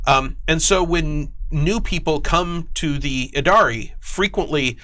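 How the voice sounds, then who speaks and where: Bright-voiced man explaining game lore. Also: a little high, unhurried. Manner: animated explainer, acts out imagined quotes. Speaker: game design lead as guest. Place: studio.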